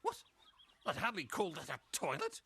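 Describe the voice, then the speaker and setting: Fussy aussie bank official, really high sharp voice, formal wording, movie scene. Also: male voice, wildly swooping pitch, moderate pace, husky, exaggerated voice. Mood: appalled. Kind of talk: film comedy-drama dialogue.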